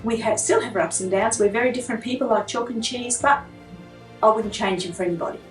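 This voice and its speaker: Woman, reflective warm talk, slightly high voice, far echoey room. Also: fast, soft background music. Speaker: sister-in-law in the family. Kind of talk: casual family interview.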